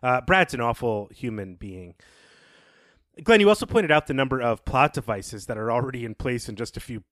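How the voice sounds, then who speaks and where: Man, medium pitch, podcast co-host, opinionated, emphatic, conversational literary commentary, dry close sound. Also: lively intonation, normal speed, fairly bright tone, no echo.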